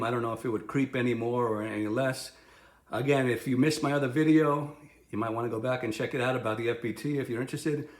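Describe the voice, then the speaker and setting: Male product reviewer, mid-range voice, casual and unscripted, halting delivery with restarts, roomy echo on the recording. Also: mid-range voice. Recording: roomy, echoing sound.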